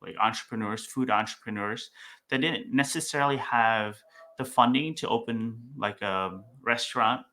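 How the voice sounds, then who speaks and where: Bright-voiced man, casual and rambling. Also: mid-range voice, moderate pace. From Vietnam. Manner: rambling, many fillers and restarts. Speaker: startup founder, guest. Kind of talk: casual interview talk.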